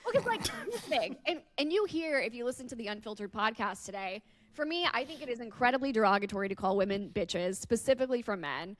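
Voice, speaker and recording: Female podcast host, on the higher side, candid and conversational, dry close recording. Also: animated, fast, hardly any pauses, fairly bright tone, no room sound. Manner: candid, swears casually. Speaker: podcast host and radio personality. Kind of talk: casual, candid podcast chat.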